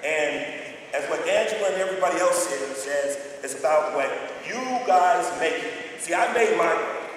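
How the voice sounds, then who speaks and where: A loud-voiced man in his forties from Northern California, giving an energetic talk that quizzes a live conference audience. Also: fairly high pitch, normal speed. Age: 40s. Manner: energetic, quizzes the crowd directly. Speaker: guest speaker. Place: large conference hall. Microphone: distant mic picking up the room. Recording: echoey hall from far off, faint hiss.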